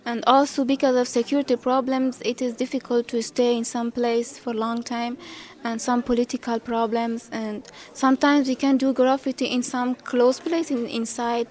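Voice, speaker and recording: Young woman, halting English. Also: on the high side, little pitch movement. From Afghanistan. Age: 20s. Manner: halting non-native English with frequent pauses and soft laughs. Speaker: graffiti artist. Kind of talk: interview.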